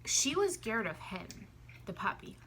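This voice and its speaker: A woman's voice: a little high, sing-song, measured pace, a bit bright, slight background noise, poor audio. A woman talking casually in a food vlog, with a wry, teasing way of speaking. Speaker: food vlogger. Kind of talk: casual mukbang chat.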